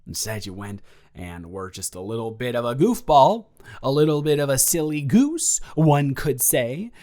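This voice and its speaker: A man's voice: mid-range voice, lightly acted. Man acting as flustered, stammering goofball roommate. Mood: animated, flustered. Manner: halting, stammering, animated, jokey. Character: flustered goofball roommate confessing love to his friend. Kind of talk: improvised audio roleplay, rambling casual storytelling.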